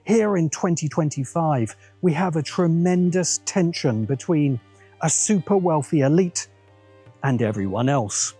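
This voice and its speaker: A man hosting and narrating a scripted video essay in a measured way, with wry asides. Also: on the high side, lively intonation, warm tone, slightly rough, soft background music. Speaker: host.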